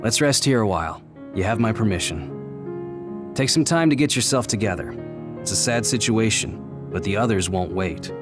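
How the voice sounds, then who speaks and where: A man voicing a calm, considerate squad captain in an anime-style video game, with a measured, authoritative delivery. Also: on the low side, over music, close to an everyday voice. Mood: solemn, consoling.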